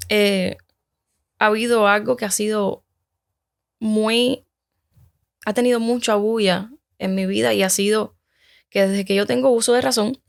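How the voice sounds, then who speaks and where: A woman from Cuba in her thirties, telling her personal story as a guest in a casual conversation, halting and hesitant with many restarts. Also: medium pitch, gravelly.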